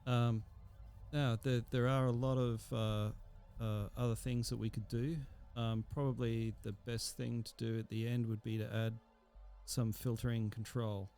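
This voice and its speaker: A man teaching a software tutorial, explaining step by step in an unhurried way and thinking aloud with fillers. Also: medium pitch, level tone, clean tone, soft background music. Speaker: instructor. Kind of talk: screen-recorded software tutorial.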